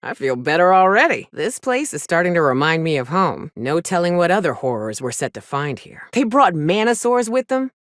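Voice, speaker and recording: Folksy sardonic game companion, tough frontier hunter gal, swingy intonation, super dry close recording. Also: female voice, on the low side, big pitch swings, normal speed, few pauses, no echo. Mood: wry, grossed out. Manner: sardonic, folksy, plain-spoken. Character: gruff, wry frontier woman, monster hunter and loyal companion. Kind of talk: video game companion dialogue.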